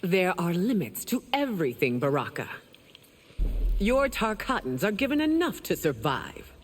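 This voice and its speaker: An actress playing a regal, guarded empress in fighting-game dialogue, formal, stern and authoritative. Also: female voice, on the low side, very animated, moderate pace. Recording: long reverb, faint noise.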